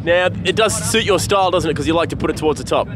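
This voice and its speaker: A man's voice: fairly high pitch, quick pace. A young man working as a pit reporter, interviewing drivers live at an outdoor racetrack. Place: outdoor speedway pit area at night. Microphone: handheld microphone. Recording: clear engine noise, outdoor trackside.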